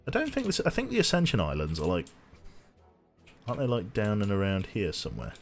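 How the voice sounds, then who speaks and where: A man streaming a game with casual commentary, a little tired, his voice slightly nasal and a bit raspy, chatty as he thinks aloud and thanks viewers. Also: fairly low pitch, huge pitch swings, brisk, faint music behind.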